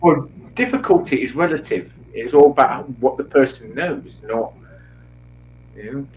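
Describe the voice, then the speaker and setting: A man's voice: medium pitch, lively intonation, a little slow, slight background noise, rough recording. A man hosting a quiz podcast, chatting in a breezy, loose way. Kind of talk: casual chat.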